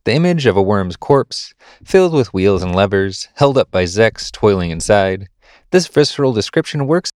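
Deep-voiced man, lively scripted delivery. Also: moderate pace, no room sound, studio quality. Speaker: podcast presenter reading editorial and news. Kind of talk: scripted reading of essay and news.

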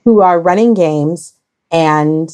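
A woman with a fairly low voice hosts a casual podcast conversation. She speaks emphatically and deliberately, leaving pauses as she shapes her phrases. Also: warm tone. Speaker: podcast discussion host.

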